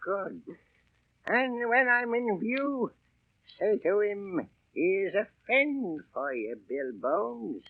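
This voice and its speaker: An actor playing a sinister old blind beggar pirate in a 1930s radio drama, sliding between theatrical wheedling and menace. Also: male voice, high-pitched, expressive, narrow, tinny audio, full character voice. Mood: menacing. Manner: theatrical, drawn-out wheedling turning to hissed threats. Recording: thin, band-limited 1930s radio recording.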